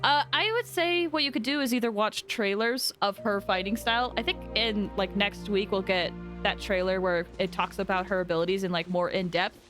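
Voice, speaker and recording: A woman giving chatty, expressive, casual commentary as she livestreams a video game. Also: mid-range voice, a little fast, hardly any pauses, soft background music. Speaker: game streamer.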